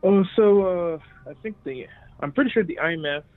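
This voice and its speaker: A man's voice: fairly high pitch, brisk, no room sound. A young man whose first language is French, chatting casually and laughing easily in an interview over a call. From Cameroon. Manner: relaxed, chatty, laughs a lot. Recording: tinny phone-like line with faint music.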